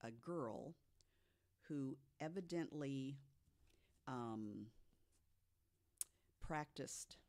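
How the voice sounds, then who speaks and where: Podcast co-host, mid-pitched, deliberate delivery from notes, conversational with emphatic asides. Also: animated, a little mellow. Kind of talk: storytelling from notes to co-hosts.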